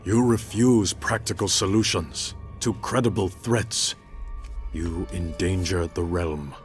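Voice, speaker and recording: Deep, solemn warlord. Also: male voice, fairly even intonation, faint music behind. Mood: stern. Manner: formal, grave, measured. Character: stern, solemn male warlord, commanding and resolute. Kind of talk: dramatic fantasy game acting.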